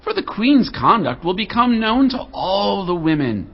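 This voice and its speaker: An indignant adviser at an ancient court for an audio Bible drama. His voice is fairly high and rough-edged, rising and falling dramatically as he declaims. The recording is slightly dull. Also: big pitch swings, unhurried, exaggerated voice. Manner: declamatory, emphatic, oratorical.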